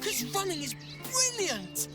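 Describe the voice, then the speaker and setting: A woman voicing an excitable, simple-minded dog in a video game, eager and repetitive. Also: very high voice, measured pace, over loud music, full character voice. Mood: gleeful. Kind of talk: video game creature dialogue.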